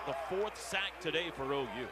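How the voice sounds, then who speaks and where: An American man calling football play-by-play on the radio in clipped phrases, getting excitable on big plays. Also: fairly high pitch, normal speed, over a noisy crowd. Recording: very noisy stadium radio broadcast. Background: loud stadium ambience.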